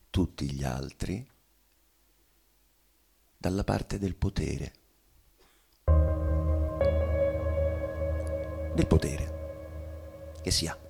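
An actor playing a dead bourgeois man bitterly confessing in a theatrical monologue, with halting, weighty phrasing and sudden swells. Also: very deep voice, gravelly, slightly rough, full character voice. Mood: cold, measured. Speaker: stage actor giving a dramatic reading. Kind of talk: theatrical monologue reading of a literary play.

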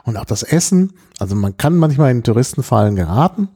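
A middle-aged man chatting casually about his travels, hesitant and often clearing his throat. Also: mid-range voice, sing-song, a little fast, mellow. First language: German. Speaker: podcast guest telling about his trip. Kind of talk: casual chat, travel anecdotes.

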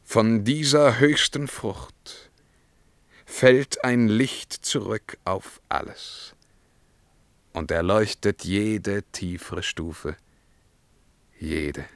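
An actor playing an imperious, brooding emperor in a verse radio drama, declamatory and commanding in a solemn, measured way. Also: male voice, deep voice, no room sound, heavily acted. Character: imperious, brooding Byzantine emperor, haughty and troubled.

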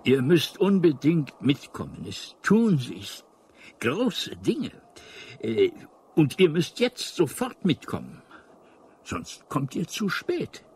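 An actor playing an elderly, absent-minded alien in a radio play, hesitant, rambling and formal, apologetic yet mildly ominous, with a husky voice. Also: male voice, a little high, wildly swooping pitch, slow pace, darkish tone, exaggerated voice. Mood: urgent, ominous.

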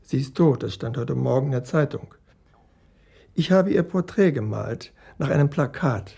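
An actor playing a gruff portrait painter in a radio crime drama, blunt and offhand. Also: male voice, mid-range voice, lively intonation, moderate pace, mellow. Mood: gruff, dismissive. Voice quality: gravelly, husky.

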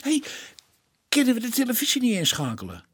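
An actor playing a blunt, eager police sergeant in a Dutch radio play, colloquial and stumbling over long words. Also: male voice, very high-pitched, huge pitch swings, brisk, fairly bright tone, slightly rough, exaggerated voice. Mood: blunt, indignant. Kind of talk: Dutch radio drama acting.

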